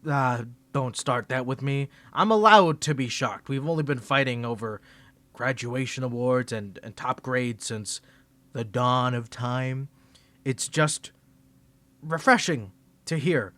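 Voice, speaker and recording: A man's voice: medium pitch, slow, a bit bright, no echo. A man voicing a flustered young tsundere male student rival in an ASMR roleplay, teasing and defensive, stammering when caught off guard. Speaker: ASMR creator.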